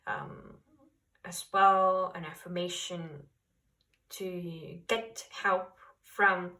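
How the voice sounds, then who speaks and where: A woman who speaks English as a second language gives an unhurried tarot reading, gentle and reassuring. Her tone is bright and clear, and she sounds a little set back from the microphone. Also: on the low side, level tone, recorded from a distance. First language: not English. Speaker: tarot reader. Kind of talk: pick-a-card tarot reading.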